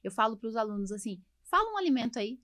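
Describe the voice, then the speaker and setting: Woman podcast host, higher-pitched voice. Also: very animated, fast, a crowd in the background. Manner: explanatory, often checks in with tag questions. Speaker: podcast host, allergist doctor. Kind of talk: casual podcast conversation.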